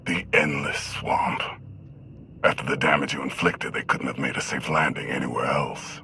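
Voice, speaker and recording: A man playing a cold, menacing imperial commander in a sci-fi game, measured and authoritative. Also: a bass voice, fairly even intonation, vocal fry, bright, forceful tone, a little hiss or hum, exaggerated voice. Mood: cold menace. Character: commanding male imperial villain hunting fugitives, cold, menacing.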